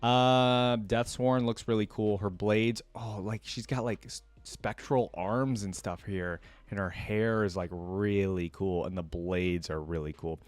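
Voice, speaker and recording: A man's voice: lowish voice, steady tone, measured pace, hardly any pauses, no room sound. A man hosting a reaction video, giving casual commentary in an informal way, thinking aloud and often indecisive.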